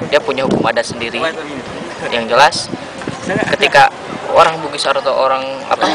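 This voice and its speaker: A man's voice: medium pitch, brisk. A young man, a student interviewee, speaking earnestly and searching for words. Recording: loud crowd and street noise.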